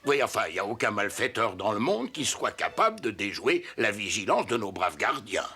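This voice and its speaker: An actor playing an elderly, nervous elephant royal adviser in a French cartoon, stammering and repeating himself with grandiose bluster. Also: male voice, fairly low pitch, very animated, brisk, pushed and bright, exaggerated voice. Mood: flustered denial.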